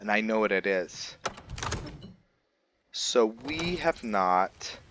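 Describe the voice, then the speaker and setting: A man giving casual, unscripted gameplay commentary, musing and haltingly thinking aloud. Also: fairly low pitch, lively intonation, a bit bright, a little hiss or hum. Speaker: gamer hosting a let's play.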